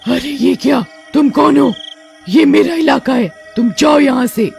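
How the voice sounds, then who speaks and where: A woman voicing a hungry, menacing witch who haunts a village in a cartoon story, with drawn-out, threatening calls. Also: high-pitched, vocal fry, a little mellow, faint music behind, pitched above the performer's own voice, full character voice. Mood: startled, challenging.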